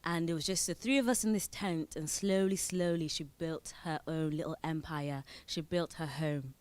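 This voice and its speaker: A woman's voice: sits low, steady tone, moderate pace, a little mellow. A woman from Zimbabwe who is a spoken word performer, reading her poems on stage with short spoken introductions. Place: indoor event venue.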